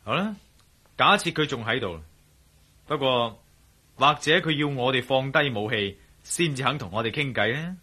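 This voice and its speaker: An actor playing a shrewd, teasing adventurer in a radio drama, with a wry, colloquial manner and a Hong Kong Cantonese accent. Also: male voice, medium pitch, expressive, normal speed, bright, forceful tone, slightly rough. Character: shrewd, teasing male adventurer, cool under pressure.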